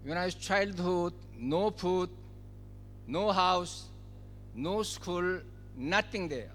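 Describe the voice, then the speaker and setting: A man's voice: sits high, animated, slow pace, a bit bright, in a large hall, slight background noise. A man in his seventies from South Korea, speaking English with a Korean accent and giving a speech at a forum in short, emphatic phrases, repeating key words. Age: 70s. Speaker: guest speaker.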